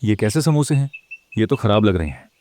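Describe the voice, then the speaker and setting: A man's voice: lowish voice, big pitch swings, brisk, darkish tone. A man voicing a disgruntled village customer in a children's cartoon, complaining. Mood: disgusted. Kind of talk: Hindi children's moral-story cartoon dub.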